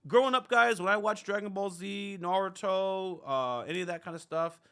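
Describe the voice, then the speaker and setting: A man's voice: sits high, moderate pace, fairly bright tone, clean tone. A man hosting a reaction channel, talking casually to viewers in a rambling, self-deprecating way with many fillers. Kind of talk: casual talk to camera.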